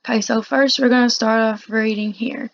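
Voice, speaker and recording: Woman teacher with a creaky, higher-pitched voice. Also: steady tone. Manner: explanatory, pausing to paraphrase the text. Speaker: teacher reading a lesson aloud. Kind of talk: read-along lecture with informal asides. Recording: dull, muffled lo-fi recording.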